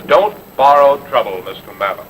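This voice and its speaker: A man's voice: medium pitch, animated, moderate pace, a bit bright, close to an everyday voice. An actor playing an arrogant, impatient rich businessman in an old-time radio drama, curt and pompous. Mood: impatient, haughty. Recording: noisy vintage radio recording, engine drone.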